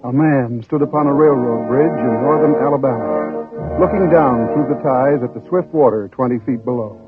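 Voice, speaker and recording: A man narrating an old-time radio drama with solemn, measured, deliberate phrasing. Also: medium pitch. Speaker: narrator and program host. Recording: muffled old radio broadcast, faint music.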